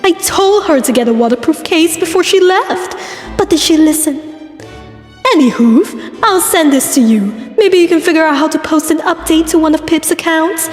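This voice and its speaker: The royal mum popping into a cartoon palace room, played by a woman, high-voiced, breezily fussing, echo all around her. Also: expressive, normal speed. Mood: doting, breezy. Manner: affectionate, chatty, motherly fussing. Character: doting, brisk regal mother pony queen. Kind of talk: cartoon voice acting in a comic dub. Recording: very echoey, music underneath.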